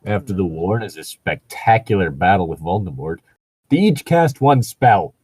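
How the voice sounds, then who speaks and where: A man's voice: low-pitched, expressive, moderate pace, a little mellow, breathy edge. A man doing casual comedic commentary as a co-host reading fan fiction aloud, sweary, mocking and exasperated. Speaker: podcast co-host.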